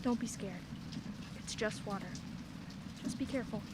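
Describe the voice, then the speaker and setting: An actress playing a middle-aged single mother, soft and soothing, with self-deprecating humor. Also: mid-range voice, moderate pace, heavy background noise, close to an everyday voice. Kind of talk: radio play acting.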